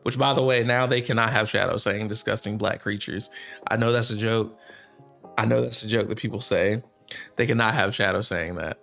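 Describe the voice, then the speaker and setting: A man's voice: on the low side, fairly even intonation, moderate pace, creaky, fairly bright tone, faint music behind. Casual, rambling male gaming news commentator. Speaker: gaming news host reacting to a clip.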